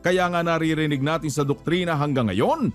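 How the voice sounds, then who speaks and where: A man's voice: on the high side, brisk, faint music behind. A man preaching in an admonishing, emphatic way, asking rhetorical questions and quoting scripture. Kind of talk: religious exhortation with rhetorical questions and scripture quotes.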